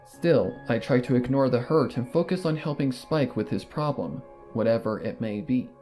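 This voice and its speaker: A man with a mid-range voice reads a story aloud in the first person, steady and measured. Faint music runs underneath. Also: medium pitch. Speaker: story narrator.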